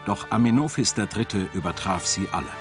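A man narrating a scripted documentary in a measured, dramatic storytelling manner. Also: on the low side, expressive. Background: music.

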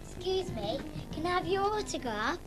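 A pushy little fan in a 1990s children's TV drama. Her voice sits very high and bounces all over the place as she begs for an autograph. Music plays clearly behind her. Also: very animated, normal speed, poor audio. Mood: eager. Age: young. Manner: eager, persistent. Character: pushy young girl fan wanting an autograph. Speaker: young fan.

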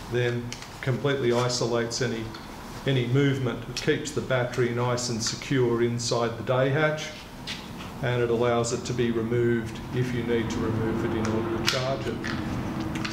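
A man in his sixties giving a measured, methodical product demonstration as a kayak retailer, speaking in an indoor shop. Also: medium pitch. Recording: echoey room with clear background noise.

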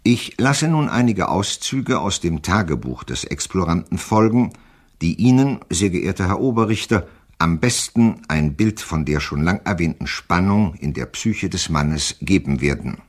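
An actor playing a formal, pedantic psychiatric expert who reads out a report with clinical, measured precision in an audio drama. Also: male voice, deep voice, expressive.

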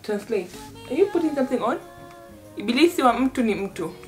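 Young woman vlog host, bright higher voice, playful, bedroom recording. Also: big pitch swings, fairly quick, soft background music. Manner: playful, laughs often, teaching Swahili phrases. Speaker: vlogger host. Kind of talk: casual chat. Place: bedroom, indoors.

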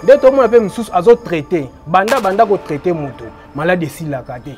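A man with a notably high voice, a healer from Boma in Congo, explains his remedies in an interview. He repeats his phrases emphatically and lets them trail off quietly. Faint music plays underneath. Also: animated, a little fast. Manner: repetitive, emphatic, trailing off low. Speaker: traditional healer.

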